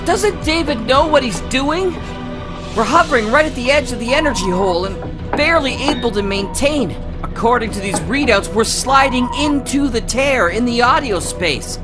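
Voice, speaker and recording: A woman's voice: a little high, lively intonation, normal speed, music in the background, close to an everyday voice. A woman playing an anxious crew member aboard a troubled craft in a sci-fi audio comedy. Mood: alarmed. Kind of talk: audio drama acting.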